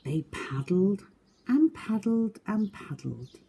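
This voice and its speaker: A woman storyteller and teacher reading aloud to children in an expressive storytelling style. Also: medium pitch, slow pace, vocal fry, slightly rough. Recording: faint background noise, birdsong. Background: birdsong.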